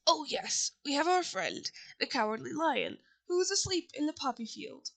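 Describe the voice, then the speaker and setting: A woman's voice: high voice, big pitch swings, normal speed, fairly bright tone, voice pushed up, exaggerated voice. A woman voicing an earnest, helpful living scarecrow in a children's storybook reading. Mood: eager.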